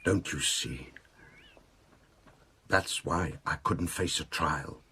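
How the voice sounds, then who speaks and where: An actor playing a remorseful wealthy adulterer confessing to police in a radio drama, anguished, halting and full of self-reproach. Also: male voice, very deep voice, vocal fry, sharp tone, breathy edge, exaggerated voice. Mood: ashamed, bitter.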